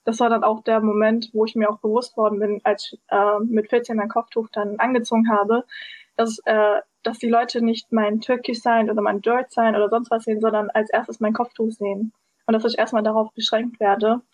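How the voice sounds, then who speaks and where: A woman's voice: fairly high pitch, flat delivery, normal speed, no room sound. A woman in her twenties talking casually on a podcast, thoughtful, with many fillers. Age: 20s. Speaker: podcast guest. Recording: dull, dry lo-fi sound.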